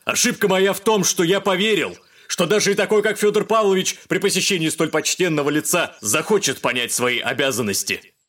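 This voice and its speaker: An indignant gentleman in a radio play, with a fairly high voice. He speaks stiffly and formally, exasperated and quick to take offence. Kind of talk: audio drama acting.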